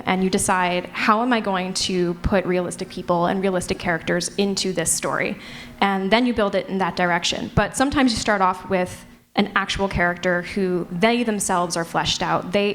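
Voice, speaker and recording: A woman's voice: lowish voice, a bit bright. A woman speaking as a panelist in a conversational, emphatic way, explaining how she approaches writing. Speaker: panelist, writer. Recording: noisy live convention room.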